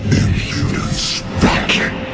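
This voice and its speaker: An actor playing a menacing, contemptuous dark god in a cinematic video game, speaking in a pompous, threatening way over music. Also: male voice. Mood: contemptuous wrath. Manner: slow, pompous, threatening delivery. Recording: loud cinematic music behind. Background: loud music.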